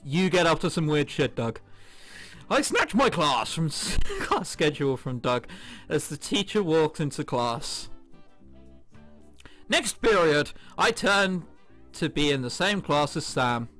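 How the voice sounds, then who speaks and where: Excitable guy doing a lets play, bright high voice, giggly, bit of music in the background. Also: unhurried. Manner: giggly, excitable, often breaking into laughter while reading. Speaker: video game commentator. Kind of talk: let's play, reading visual novel aloud with reactions. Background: game background music.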